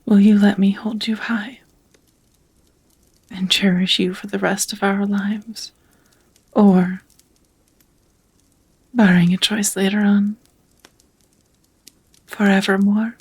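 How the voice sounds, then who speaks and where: A woman voicing a devoted, nervous vampire roommate in an intimate ASMR roleplay, whispering softly in a halting, earnest, tender way. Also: mid-range voice, little pitch movement. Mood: adoring, earnest. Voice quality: soft, breathy whisper.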